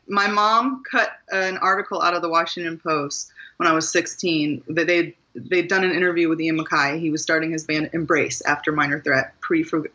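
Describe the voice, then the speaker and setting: Bright-voiced woman from Maryland. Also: lowish voice, muffled recording. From Maryland, USA. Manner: thoughtful, earnest, with small laughs and restarts. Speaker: guest author. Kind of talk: reflective interview conversation.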